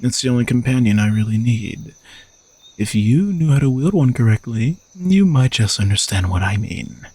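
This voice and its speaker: A man's voice: mid-range voice, lively intonation, moderate pace, darkish tone, husky. A man playing an arrogant, sardonic knight who is a reluctant royal bodyguard, teasing and condescending in a romantic audio roleplay. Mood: teasing, smug. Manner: teasing, dry, exasperated sighs, condescending.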